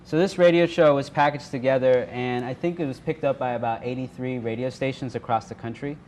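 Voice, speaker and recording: A man's voice: medium pitch, fairly even intonation, a little fast, a little mellow. A man giving an informal workshop presentation as an activist speaker in a live room. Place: indoor workshop room with an audience. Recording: noisy live room recording.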